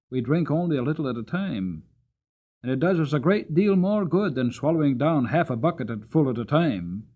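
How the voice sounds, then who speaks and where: A gentle, earnest horse voiced by a man recounts his working life as in a classic storybook. The pitch moves freely and the delivery is dramatic and sincere. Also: narrow, tinny audio, lightly acted. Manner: expressive, earnest.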